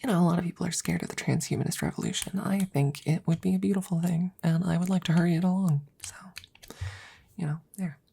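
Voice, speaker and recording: A woman playing an anxious, devoted scientist in an intimate ASMR roleplay, rambling haltingly in a soft, breathy voice that often slips into whispers, close to the microphone. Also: fairly low pitch, fairly even intonation. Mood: sheepish, excited.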